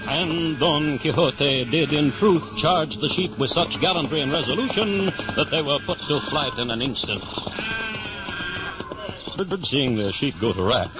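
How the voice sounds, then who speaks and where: A man narrating a 1950s radio drama in a formal, theatrical storytelling style. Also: a little high. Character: storybook narrator of a knight's adventures. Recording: dull old-time radio recording. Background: music.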